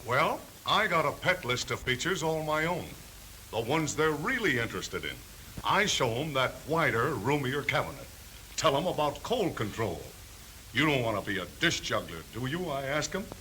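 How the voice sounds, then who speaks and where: A self-assured salesman from a 1930s training film. His mid-pitched male voice is bright and lively, full of emphatic slang. Faint noise runs under the old soundtrack. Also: moderate pace, rough recording. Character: brisk, self-assured refrigerator salesman swapping sales tricks.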